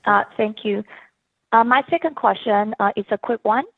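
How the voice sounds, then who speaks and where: A woman's voice: medium pitch, moderate pace, gravelly. Woman analyst on a narrow phone line. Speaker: financial analyst. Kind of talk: asking questions on an earnings call. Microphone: telephone.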